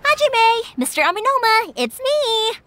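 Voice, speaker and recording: A woman voicing a cheerful, energetic young woman in a video game, chatty, earnest and expressive. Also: very high voice, a little slow, slight background noise, full character voice. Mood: bright, chatty. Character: cheerful, energetic young woman who runs a fireworks store. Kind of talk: animated video game voice acting.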